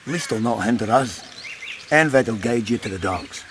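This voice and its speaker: A man's voice: mid-range voice, normal speed, noisy background, natural voice. An actor playing a wary, defiant male rebel fighter in a video game, suspicious and commanding.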